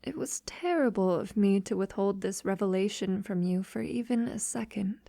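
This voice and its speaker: A woman playing a centuries-old, wealthy vampire lover in an intimate ASMR audio roleplay, soft-spoken and half-whispering with hesitant pauses. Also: medium pitch, vocal fry, no echo, clean studio sound, natural voice. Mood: remorseful, gentle.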